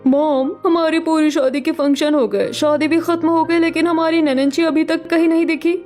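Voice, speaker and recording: Woman playing an outspoken young bride, extremely high voice. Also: fairly even intonation, normal speed, a little mellow, faint music behind, natural voice. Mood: curious. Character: outspoken, concerned young newlywed Indian daughter-in-law. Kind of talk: cartoon family drama voice acting.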